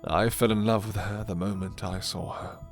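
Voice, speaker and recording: A man voicing a suave, menacing, condescending vampire lord in an ASMR roleplay, courtly and theatrical, with deliberate delivery. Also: fairly low pitch, fairly even intonation, natural voice. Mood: brooding, sorrowful. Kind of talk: ASMR roleplay character acting. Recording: music behind the voice.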